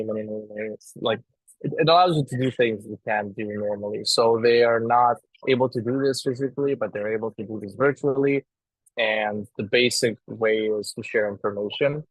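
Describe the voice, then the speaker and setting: A man from Afghanistan speaking earnestly as a guest on a live online panel, pausing often and restarting his sentences. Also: medium pitch, no echo, low-quality recording. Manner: earnest, halting, many restarts. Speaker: astronomy outreach guest. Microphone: video call.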